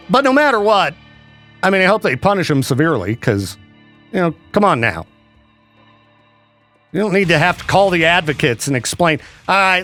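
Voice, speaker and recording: Male radio host, higher voice, chatty and joking, soft music bed underneath. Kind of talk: casual radio banter.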